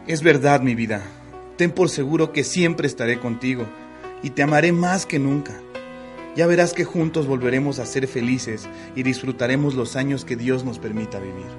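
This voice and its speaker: Actor as devoted Mexican husband, radio melodrama, formal affectionate delivery, mid-range voice, light music behind. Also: male voice, medium pitch, normal speed, close to an everyday voice. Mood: tender, reassuring. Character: devoted, tender husband, well-off gentleman. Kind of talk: radio soap opera acting.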